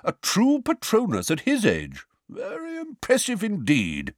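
A man voicing a stern, formidable older witch judge with a booming, brisk delivery. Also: huge pitch swings, heavily acted. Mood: impressed.

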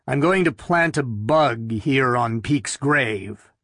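Man playing a measured, thoughtful boss. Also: mid-range voice, expressive, a bit bright, no room sound, studio quality, full character voice. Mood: decisive. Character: big, thoughtful, assured male firm boss.

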